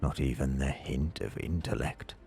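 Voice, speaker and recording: A man narrating scripted fiction in a dramatic, solemn and measured way. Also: a bass voice, gravelly, a little mellow, breathy edge. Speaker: audiobook narrator. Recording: music under the voice. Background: background music.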